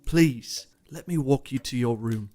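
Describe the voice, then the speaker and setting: An actor playing a polite, firm young gentleman who is gently insistent. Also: medium pitch, a little slow, faint music behind, natural voice. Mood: concerned, firm. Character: proper, concerned young English gentleman.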